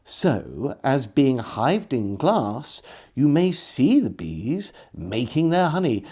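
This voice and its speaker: A man reading an old diary aloud as a storyteller, in measured phrases with deliberate pauses. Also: medium pitch, big pitch swings, husky. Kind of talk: scripted literary narration of an old diary.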